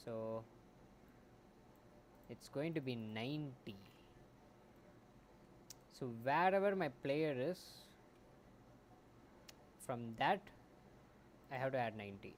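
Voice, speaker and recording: A man streaming as a game developer, thinking aloud while he codes, often muttering and trailing off into soft asides, on a quiet home mic. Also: animated, takes it slowly, pauses often. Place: home room. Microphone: desktop mic. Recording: faint background noise, low home mic.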